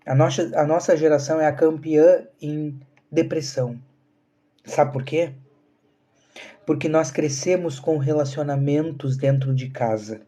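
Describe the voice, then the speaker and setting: A man's voice: fairly high pitch, fairly even intonation, unhurried, dark tone. A man, talking earnestly to viewers on a live stream and asking rhetorical questions. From southern Brazil. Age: 30s. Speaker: spiritual channel host.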